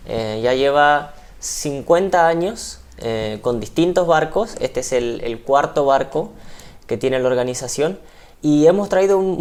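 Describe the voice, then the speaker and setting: A man's voice: a little high, a little mellow. A man with an Argentine accent, a ship crew member being interviewed on TV, explaining at length with frequent fillers. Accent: Argentine Spanish. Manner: hesitant, explanatory, many fillers.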